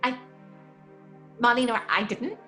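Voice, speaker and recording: A dramatic theatre woman in an audio drama. Her voice sits on the high side, theatrical in delivery, over soft music. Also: lively intonation, takes it slowly, full character voice. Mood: defensive. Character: theatre actress declaiming classical lines, cheeky between scenes. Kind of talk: dramatic audio fiction acting.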